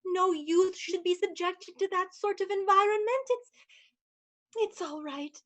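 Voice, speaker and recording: A woman plays a gentle therapist with a very high, coaxing voice. She speaks slowly and soothingly. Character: soothing female therapist called Glinda.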